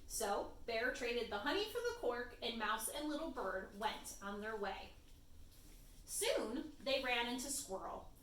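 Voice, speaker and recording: A woman's voice: a little high, animated, a little slow, fairly bright tone, a little hiss or hum. A woman reading a children's storybook aloud expressively, as a story-time reader. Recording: roomy echo, distant microphone.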